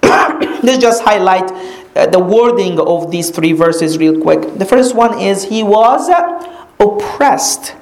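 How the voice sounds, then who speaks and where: A woman whose first language is Arabic, teaching a sermon on a Bible passage and stressing key phrases with slow, dramatic repetition. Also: mid-range voice, animated, a little mellow. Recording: echoey room.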